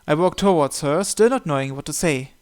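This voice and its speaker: A man with a fairly high voice reads a visual novel aloud. He delivers it as dramatic, scripted first-person narration. Faint music runs behind the voice. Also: lively intonation, brisk, a little mellow. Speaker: channel host reading visual novel text aloud.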